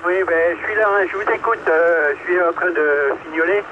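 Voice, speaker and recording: A man's voice: on the high side, moderate pace. A man chatting casually over a two-way radio transmission. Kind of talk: casual amateur radio chat. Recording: tinny, noisy two-way radio.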